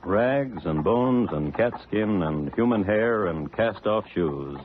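Low-voiced wry radio narrator. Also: male voice, slow, noisy background. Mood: wry, deliberate. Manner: wry, deadpan, literate. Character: dry, urbane male true-crime narrator. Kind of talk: scripted 1950s radio narration. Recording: tinny old radio broadcast with music.

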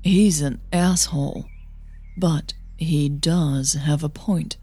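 A woman voicing an earnest, cautioning female coach in an audio drama, measured and persuasive. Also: low-pitched, fairly even intonation, mellow, breathy edge, full character voice. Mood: hesitant, earnest.

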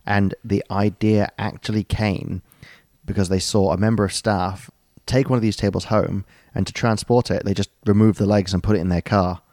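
A man with a very low voice rambles through a casual story, filling pauses with ums and tossing in jokey asides. The sound is dry and close, with no room around it. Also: fairly even intonation, a little mellow, no echo. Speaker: podcast co-host. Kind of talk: casual chat.

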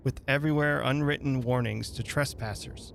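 Gravelly male narrator reading a dramatic tale. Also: mid-range voice, fairly even intonation, moderate pace, fairly bright tone, a little hiss or hum. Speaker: reader and host of a dramatic reading radio show. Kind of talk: dramatic audiobook narration of a literary story.

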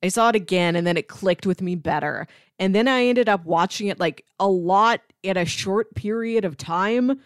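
A woman's voice: mid-range voice, normal speed, clean tone, no echo, studio quality. A woman co-hosting a podcast, talking casually about films and sometimes chuckling through her words. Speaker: podcast co-host debating films. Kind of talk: casual film discussion.

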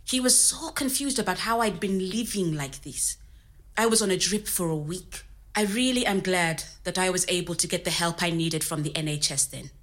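A woman's voice: lowish voice, normal speed, a bit bright, lightly acted. Candid immigrant woman, played by an actress. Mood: reflective, grateful. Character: Zimbabwean woman immigrant in Nottingham, candid and resilient. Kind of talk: scripted oral-history audio drama told as interview.

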